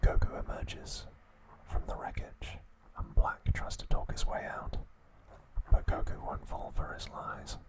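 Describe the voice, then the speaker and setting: A man narrating scripted ASMR in a breathy, unvoiced whisper, with hushed, deliberate phrasing and pauses. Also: low-quality recording. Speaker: ASMR host.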